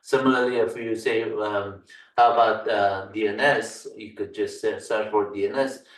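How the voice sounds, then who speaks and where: A man with an Afghan accent talks through a screen-share tutorial in a fairly low voice, stopping often on "uh" fillers. He sits back from the mic in a roomy space, so the sound comes with a clear echo. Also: fairly even intonation, distant mic. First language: Farsi. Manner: halting, many "uh" fillers. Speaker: Linux course instructor.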